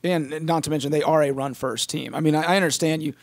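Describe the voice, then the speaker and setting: A man's voice: on the high side, steady tone, vocal fry, no room sound. A man hosting a casual sports podcast, steering the chat and firing off questions, his voice still recovering from an illness. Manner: leads the talk, quick bursts of questions. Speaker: podcast host.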